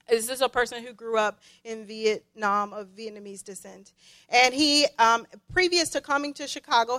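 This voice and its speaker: A woman preaching a sermon as a guest, emphatic and given to deliberate repetition for weight. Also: a little high, pushed and bright.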